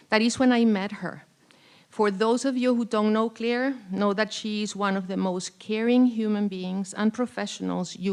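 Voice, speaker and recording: A woman's voice: mid-range voice, clear, resonant tone. A woman presenting an award with a prepared, formal tribute speech. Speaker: award presenter, faculty colleague.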